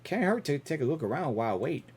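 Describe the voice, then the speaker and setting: Animated game streamer with a lively pitch. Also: fast, slight background noise. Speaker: game streamer reacting to a story quest. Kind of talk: casual reaction commentary, reading game dialogue aloud.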